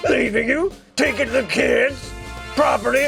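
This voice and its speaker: A man voicing a blunt, hard-drinking, divorced old barkeep type with a gravelly voice in a comedic anime parody dub. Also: very high-pitched, unhurried, music in the background, full character voice. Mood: bitter, maudlin. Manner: blunt, rambling, drunken deadpan.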